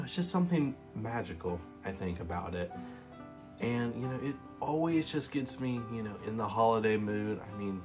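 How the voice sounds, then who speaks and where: A man in his late twenties, sitting on a living room couch and reading a Christmas story aloud in a gentle, casual way. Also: on the low side. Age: late 20s. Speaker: storybook reader. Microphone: webcam facing him at close range.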